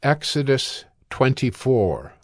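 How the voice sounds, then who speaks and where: An unhurried man narrates scripture with formal deliberation. His voice sits at a medium pitch and is recorded very dry and close. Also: big pitch swings, dry recording.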